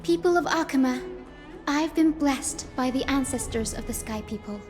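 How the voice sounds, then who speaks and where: A woman voicing a gentle, solemn young priestess making a proclamation in a fantasy video game. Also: sits high, normal speed, long reverb tail, music in the background, lightly acted. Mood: solemn, resolute. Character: solemn, gentle young chosen priestess addressing her people.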